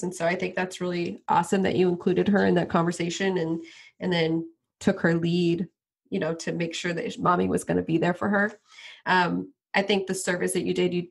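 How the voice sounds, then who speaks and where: A woman's voice: lowish voice, creaky, husky. A woman hosting a podcast interview, speaking in an affirming, enthusiastic way. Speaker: podcast host.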